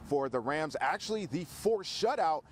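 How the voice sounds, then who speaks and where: Male sports reporter, fairly high voice, faint background noise, scripted news delivery. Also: expressive, normal speed.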